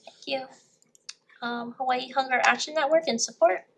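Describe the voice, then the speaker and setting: High-voiced woman calling testifiers. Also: creaky, a little hiss or hum. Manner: brisk, businesslike. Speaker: committee clerk or chair calling testifiers. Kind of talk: brisk procedural announcements.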